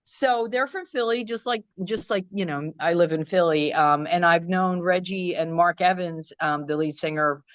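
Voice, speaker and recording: A woman musician from Croatia, answering interview questions in a casual chat, thoughtful and rambling with many pauses and restarts. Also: lowish voice, no room sound, highs cut off. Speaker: musician guest. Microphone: video call.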